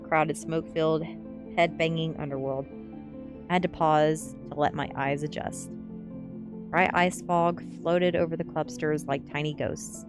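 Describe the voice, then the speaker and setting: A woman streamer reading a gothic teen story aloud in an audiobook style of narration. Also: deep voice. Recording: faint music behind. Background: faint music.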